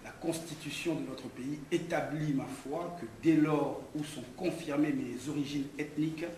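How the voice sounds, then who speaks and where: Echoey, high-pitched Congolese man making political speeches. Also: steady tone, normal speed, mellow. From Democratic Republic of the Congo. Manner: emphatic, rhetorical, didactic. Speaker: political speaker, activist. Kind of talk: impassioned political speech to a gathering. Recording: distant, echoey room with background noise.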